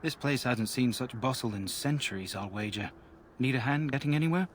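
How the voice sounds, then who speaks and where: A man's voice: mid-range voice, a little fast, a little hiss or hum, close to an everyday voice. A man playing a helpful, chatty army guard in a fantasy video game, folksy and plainspoken. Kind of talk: video game character dialogue.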